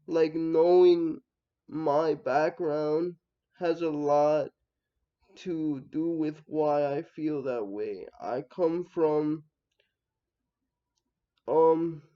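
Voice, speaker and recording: A young man from the United States giving a reflective personal monologue, halting and thinking aloud, often trailing off. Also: fairly high pitch, dark tone, smooth, highs cut off. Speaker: vlogger.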